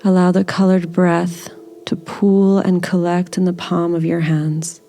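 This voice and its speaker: Low, slow, mellow woman guiding meditation. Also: level tone. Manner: gentle, soothing, unhurried. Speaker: meditation guide. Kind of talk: guided body scan meditation.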